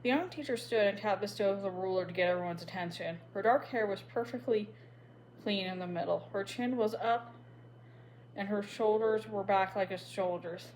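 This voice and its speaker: A woman reading a children's novel aloud as narrator, in a steady, even way. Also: medium pitch, normal speed, a little hiss or hum, rough recording. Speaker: storybook reader.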